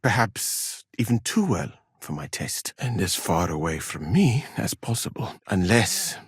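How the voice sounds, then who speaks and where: Learned, husky-voiced scholar-physician. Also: male voice, low voice, sing-song, no room sound, clean studio sound, heavily acted. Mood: composed, wry. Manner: measured, formal, eloquent phrasing. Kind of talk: video game voice acting.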